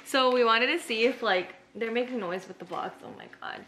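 Chatty young woman vlogging, fairly high voice. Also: a little fast, a bit bright, a little hiss or hum. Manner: chatty, upbeat, full of fillers. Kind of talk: casual vlog chat. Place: shopping mall and home living room. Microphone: handheld camera close up.

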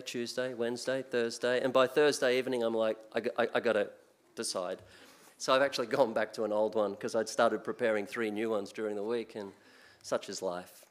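An older Australian man preaching at a church pulpit into a mic. His delivery is relaxed and conversational, full of small restarts and fillers. His pitch sits in the middle range. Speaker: guest preacher. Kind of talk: sermon. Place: church sanctuary, speaking at a pulpit.

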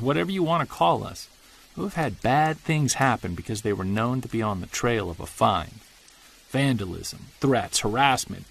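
Mężczyzna grający zmęczonego badacza z chrapliwym głosem. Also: male voice, medium pitch, vocal fry, breathy edge, heavily acted. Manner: confessional, earnest, quietly troubled. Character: weary middle-aged male Bigfoot investigator recording audio diary. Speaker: narrator. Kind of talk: scripted audio drama narration.

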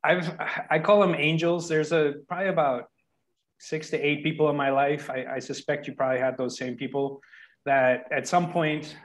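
A man's voice: on the high side, steady tone, normal speed. Guy from spain in his 40s, chill storytelling, laughs a lot, video call from a home office. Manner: laughs often, self-deprecating. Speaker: guest. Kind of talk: casual storytelling. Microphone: headset on video call.

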